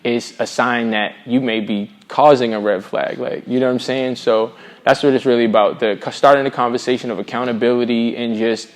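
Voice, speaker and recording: A man's voice: mid-range voice, fairly even intonation, brisk. A middle-aged man from Boston with an American accent, speaking as a singer-songwriter in a casual interview, earnest and reflective with plenty of slang. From Boston, USA.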